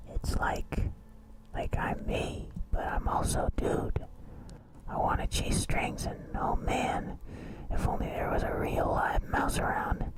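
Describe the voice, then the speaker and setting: A man voicing an excited boy in a cat's body, speaking his thoughts in a breathy whisper, slow and eager, for a storybook. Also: close to an everyday voice, low-quality recording. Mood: dreamy, hungry. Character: boy morphed into a cat, excited thought-speech. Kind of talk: storybook character voice over music. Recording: whispered voice under loud music.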